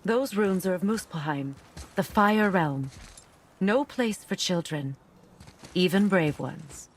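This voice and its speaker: Wise, solemn guide, noisy background. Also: female voice, lowish voice, expressive. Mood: grave. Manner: measured, knowing, gently instructive. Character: wise, solemn woman of old magic, gentle guide. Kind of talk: video game voice acting.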